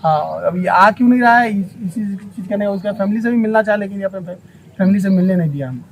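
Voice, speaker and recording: A man's voice: sits high, quick pace, a little hiss or hum, poor audio. A man from Bihar with a Bihari accent, giving an unscripted interview as a local, speaking emphatically and repeating phrases for stress. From Bihar, India.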